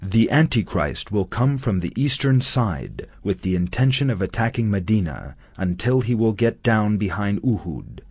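A man narrating a scripted documentary in a measured, solemn studio voice-over. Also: fairly low pitch, sing-song, darkish tone, husky, no room sound.

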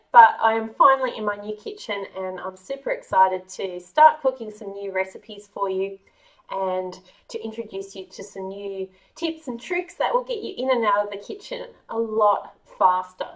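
A woman's voice: mid-range voice, distant mic, low-quality recording. A middle-aged woman with an Australian accent, hosting a cheerful, chatty home cooking vlog. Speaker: cooking channel host. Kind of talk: casual kitchen vlog. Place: large home kitchen. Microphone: camera a few meters away.

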